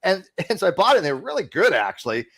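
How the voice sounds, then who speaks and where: A man's voice: sits high, sing-song, fast, gravelly, fairly bright tone. A man hosting a casual livestream, rambling and blunt, swearing freely as he talks. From California. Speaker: streamer host.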